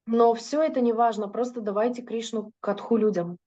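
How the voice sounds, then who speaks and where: A woman's voice: a little high, steady tone, normal speed, mellow, muffled recording. A woman interpreting a religious lecture into Russian, fluent but with occasional hesitations and self-corrections.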